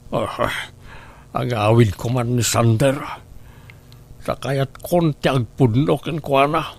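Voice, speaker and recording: Radio drama casting: guilt-ridden older widower, gravelly and slow, halting, pleading confession. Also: male voice, mid-range voice, full character voice. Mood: brooding, guilty. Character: remorseful older widower confessing to a long-ago kidnapping, pleading. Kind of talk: Ilocano radio drama acting.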